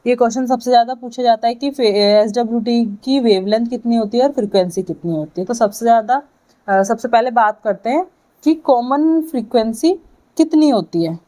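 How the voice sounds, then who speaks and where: A woman with an Indian accent lecturing to students, explaining and dictating key points in halting, deliberate phrases with frequent check-ins. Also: fairly high pitch, dark tone. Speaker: physiotherapy lecturer.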